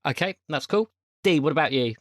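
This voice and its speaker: A man's voice: fairly high pitch, lively intonation, fairly quick, fairly bright tone, no room sound, clean studio sound. A man running a tabletop roleplay as game master, casual and easygoing with some hesitation.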